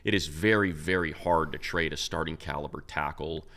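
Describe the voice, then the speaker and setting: A man's voice: extremely low pitch, normal speed, creaky, fairly bright tone. An American man talking football casually as a co-host, giving his opinions with emphasis. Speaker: sports radio announcer and podcast co-host. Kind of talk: casual football analysis chat.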